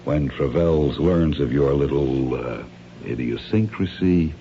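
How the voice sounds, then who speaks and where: A smooth, calculating villain with a very dark, low voice. He speaks in a measured, formal, condescending way with cool menace, as in a radio mystery. The recording is dull and hissy. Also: exaggerated voice. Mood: quietly threatening. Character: smooth, calculating male crime schemer, coolly menacing. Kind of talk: radio mystery drama acting.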